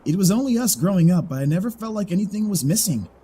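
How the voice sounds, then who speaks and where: An actor playing an easygoing joker with a sincere heart in a fan audio drama, talking in a casual, chatty, expressive way. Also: mid-range voice, quick pace, slight background noise, close to an everyday voice. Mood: fond, wry.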